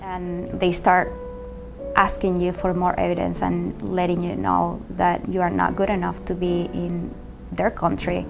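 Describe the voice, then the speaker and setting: Gravelly-voiced Venezuelan woman, measured. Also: on the low side, monotone. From Caracas, Venezuela. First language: Spanish. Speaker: ballet mistress and company manager. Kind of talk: personal testimony interview. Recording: music under the voice.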